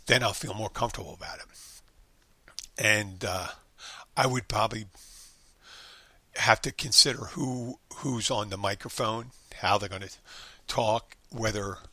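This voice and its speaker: An American man talks alone on his podcast, wandering from thought to thought and often restarting his sentences. His voice sits low but has a bright, forward edge. The recording is close and dry, with no room sound. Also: a little slow, pauses often, husky, dry recording. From United States. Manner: rambling, with frequent restarts and fillers. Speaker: podcast host and bartender. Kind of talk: solo podcast monologue.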